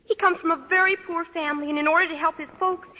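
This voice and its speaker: A woman's voice: extremely high pitch, fairly even intonation, fast talker. An actress playing an earnest, gushing, flustered teenage schoolgirl in a vintage radio sitcom. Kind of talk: 1940s radio sitcom acting. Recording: dull, echoing vintage radio recording, studio audience.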